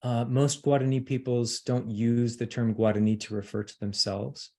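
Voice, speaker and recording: A middle-aged man giving an academic lecture, pausing often with ums and uhs as he speaks. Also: mid-range voice, level tone, dark tone. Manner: hesitant, many ums and uhs.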